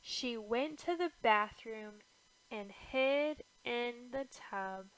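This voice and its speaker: Bright-voiced woman reading slowly, storybook style. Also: fairly high pitch, poor audio. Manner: expressive, measured rhythmic verse delivery. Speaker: storybook reader. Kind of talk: scripted read-aloud of a rhyming children's picture book.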